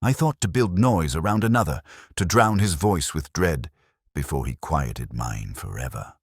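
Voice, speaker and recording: A man's voice: a bass voice, lively intonation, moderate pace, slightly rough, no echo, clean studio sound, full character voice. A man voicing a meek, frightened, ashamed young curate confessing in a mystery audiobook. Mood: fearful, pleading. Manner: fearful, confessing. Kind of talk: mystery audiobook character dialogue.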